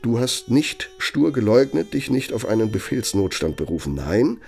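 A man's voice: medium pitch, sing-song, creaky, darkish tone, a little hiss or hum. An actor playing a bitter, sardonic older former right-hand man in a radio play, speaking with needling, threatening emphasis. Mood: scornful sarcasm. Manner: sarcastic, needling, emphatic, drawn-out phrasing for menace. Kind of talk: radio play dramatic monologue.

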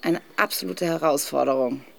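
An actress playing a weary, irritable wife in a radio drama, speaking in a terse, reproachful way. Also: female voice, low-pitched, normal speed, vocal fry. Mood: irritated. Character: weary, irritable wife seeking escape from routine. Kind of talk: German radio drama acting.

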